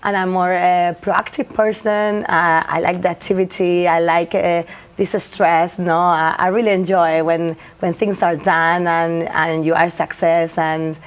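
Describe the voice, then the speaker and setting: A woman's voice: fairly low pitch, flat delivery, measured pace, clean tone. Young Spanish-accented woman lawyer, hesitant. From Spain. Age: young adult. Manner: hesitant, many fillers and restarts. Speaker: lawyer guest. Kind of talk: interview answers.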